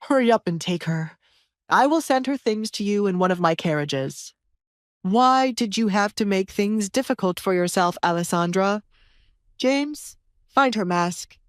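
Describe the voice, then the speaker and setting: Woman acting an angry, commanding older baron, swooping pitch. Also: lively intonation, measured pace, no echo, studio quality, natural voice. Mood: curt, impatient. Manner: commanding, threatening. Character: angry older baron, a bullying, threatening father.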